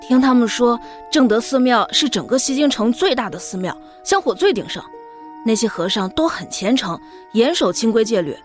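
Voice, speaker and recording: An earnest young female disciple, acted for an audio drama. Her voice sits a little high, and soft music plays underneath. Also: animated, moderate pace, close to an everyday voice.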